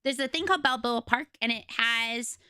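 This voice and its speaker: A young woman streamer with a raspy voice, chatting casually and rambling to her viewers from home. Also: on the high side, moderate pace, sharp tone. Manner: chatty, rambling, retells conversations.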